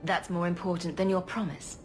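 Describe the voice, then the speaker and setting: A woman as a low-voiced, concerned game heroine. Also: fairly even intonation, a little fast, soft background music, natural voice. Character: concerned, direct woman companion and lover. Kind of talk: dramatic video game acting.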